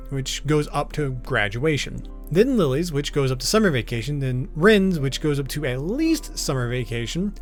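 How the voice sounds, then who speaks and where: High-pitched, animated man explaining casually. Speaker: video host. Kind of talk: informal explainer commentary on a visual novel. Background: background music.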